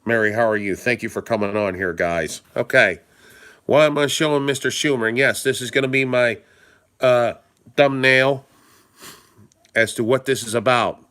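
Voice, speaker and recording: Hoarse, stuffed-up man live-streaming. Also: medium pitch, steady tone, moderate pace, fairly bright tone. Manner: rambling, repeats himself, greets viewers by name. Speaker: livestream host. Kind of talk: casual live-stream commentary.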